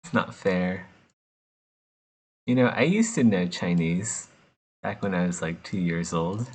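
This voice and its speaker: A man's voice: low-pitched, animated, a little slow, breathy edge. A man from the United States chatting casually and joking as he works, laughing often between rambling asides. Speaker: artist streaming while sculpting. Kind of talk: casual chat while working.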